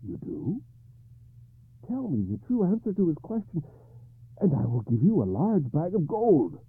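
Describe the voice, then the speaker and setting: A worried medieval king, his voice leaping high and swooping all over as he pleads and bargains, as a storyteller puts him on. The sound is dry and narrow, like a phone line. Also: wildly swooping pitch, a little slow, breathy edge, no room sound, heavily acted. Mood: bargaining. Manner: pleading, rising to frantic. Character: anxious proud medieval king, adult man.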